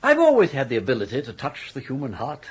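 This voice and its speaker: Husky, swooping old English gentleman schemer. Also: mid-range voice, huge pitch swings, fast. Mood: urbane, scheming. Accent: English upper-class. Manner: urbane, florid, self-assured. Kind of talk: radio comedy drama acting.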